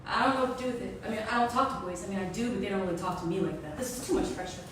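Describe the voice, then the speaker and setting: A woman performing an autobiographical stage monologue, telling it expressively and dramatically with drawn-out words. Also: medium pitch, background noise. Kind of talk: theatrical autobiographical monologue, loosely improvised. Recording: very echoey, distant, music behind.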